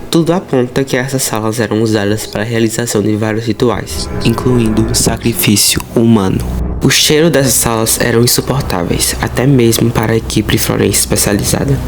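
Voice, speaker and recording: A man's voice: mid-range voice, noisy background. A man with a Brazilian accent telling horror stories as a host, mixing narration with informal asides and dramatic emphasis. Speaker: host of a horror storytelling channel. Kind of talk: storytelling narration with casual asides. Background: music under the voice.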